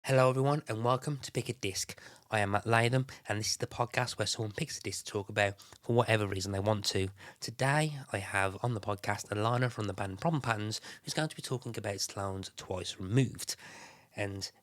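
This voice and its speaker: Man, podcast host, low pitch, dry recording, croaky, rambling casual chat. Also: a little fast, a bit bright, no echo. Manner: rambling, restarts often, chuckles. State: slightly croaky voice.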